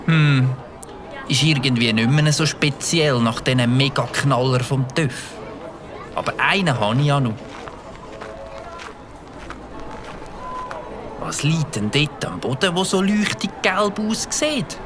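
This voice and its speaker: A man playing an eager, mischievous young boy with a Swiss German accent, excitably thinking aloud in a children's audio drama. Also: mid-range voice, a little slow, a crowd in the background, background noise, lightly acted. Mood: scheming. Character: Mike, eager mischievous young boy who loves firecrackers.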